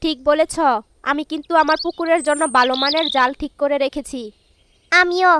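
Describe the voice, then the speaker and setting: A village wife voiced by a woman speaks in a very high voice, with clear noise and chirping birds behind her. She is a cartoon figure, competitive and affectionate within her household. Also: brisk, close to an everyday voice. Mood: boastful, competitive. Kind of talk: Bangla cartoon voice acting.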